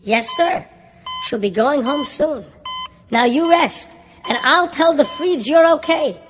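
A man voicing a frantic mother and a nurse in a told story, with high strained cries. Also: lively intonation, slow pace, a bit bright, long reverb tail, slight background noise, heavily acted. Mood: reassuring. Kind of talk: storytelling with music.

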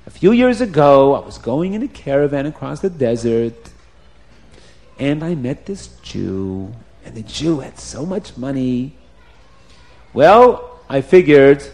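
Man acting a cocky royal minister, lively pitch. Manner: self-justifying, boastful. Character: scheming, greedy, cocky male royal minister. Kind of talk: storybook character voice for children.

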